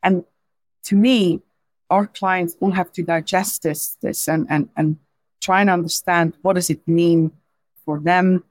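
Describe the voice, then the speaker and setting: Belgian lady in her 40s, non-native english, thinks out loud, lots of restarts, dry close sound. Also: fairly low pitch, steady tone, measured pace, no room sound. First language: not English. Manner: thoughtful, frequent restarts and "right?" tags. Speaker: podcast guest, insurance executive. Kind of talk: conversational interview answers.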